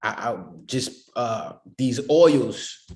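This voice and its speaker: A music producer chats in an interview at an easy, middle pitch, circling around his points and leaning on "you know what I mean" as he talks. He is a man from the Boston area, relaxed and informal. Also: extremely slow. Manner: laid-back, rambling, many fillers like "you know what I mean". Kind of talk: casual interview chat.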